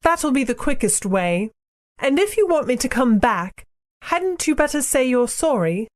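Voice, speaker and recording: A storybook narrator voicing a brisk, indignant young girl with prim, cutting British schoolgirl phrasing. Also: medium pitch, voice pushed up, natural voice. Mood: cool, stiff. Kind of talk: audiobook character dialogue.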